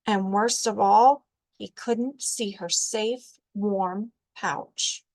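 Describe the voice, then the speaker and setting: A woman reading a children's storybook aloud as a librarian storyteller, with deliberate, expressive narration. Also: mid-range voice, rough recording.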